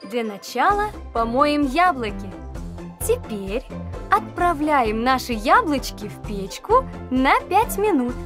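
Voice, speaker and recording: Woman, kids' recipe narrator, sing-song, lively pitch, music behind. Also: slow. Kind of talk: scripted recipe narration for children. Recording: voice-over with music.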